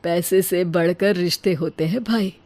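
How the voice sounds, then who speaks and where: A performer playing a forgiving, steady elder brother who speaks earnestly and reassuringly in a family story. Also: mid-range voice, measured pace, no room sound, close to an everyday voice.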